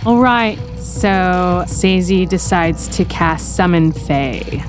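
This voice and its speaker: A woman's voice: lowish voice, slow pace. A woman chatting as a player in a tabletop roleplaying game, with a hesitant, tentative manner. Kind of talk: casual roleplay game chat. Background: background music.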